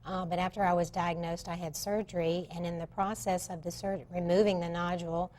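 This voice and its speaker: A woman in her sixties, a pageant guest on a TV talk show, speaking candidly in a plain, matter-of-fact way with a slightly raspy voice. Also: fairly low pitch, level tone, fairly quick, darkish tone. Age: 60s. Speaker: guest, reigning senior pageant queen.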